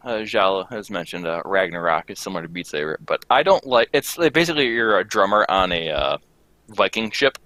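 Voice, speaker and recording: A young man in casual conversation with a halting, self-deprecating way of talking. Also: sits low, animated, bright, forceful tone, dry recording. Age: young adult. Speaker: show participant. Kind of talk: casual chat.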